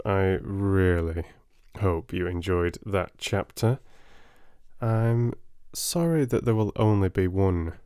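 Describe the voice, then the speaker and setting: A soft-spoken man with a British accent, gently and expressively reading a storybook as an audiobook narrator. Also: sits low, dry recording, clean studio sound.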